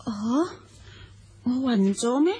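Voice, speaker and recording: An actress playing a sorrowful, selfless noblewoman in a radio drama, with a husky, sighing, halting delivery. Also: fairly high pitch, expressive, warm tone, full character voice. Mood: weak, remorseful. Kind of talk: Cantonese radio drama acting.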